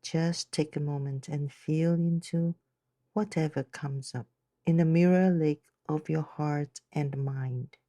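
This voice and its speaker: Female meditation guide: soft dark tone, very slow gentle delivery, measured phrasing. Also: deep voice, fairly even intonation, dry recording.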